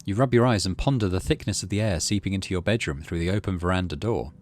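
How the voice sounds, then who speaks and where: A man's voice: deep voice, fast, slight background noise. A man doing let's play commentary, reading story text aloud and muttering through his decisions as he thinks aloud. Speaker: game streamer, let's play host.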